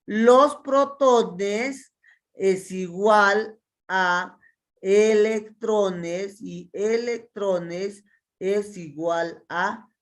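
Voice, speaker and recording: Slow-paced Peruvian woman teaching chemistry. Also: medium pitch. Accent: Peruvian Spanish. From Peru. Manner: asks students questions and answers them herself, counting step by step. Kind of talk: classroom lecture solving exercises.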